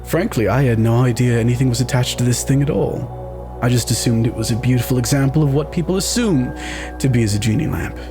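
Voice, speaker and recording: A man voicing a suave, guarded curator with a measured, dry, formal way of talking. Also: mid-range voice, over music, close to an everyday voice.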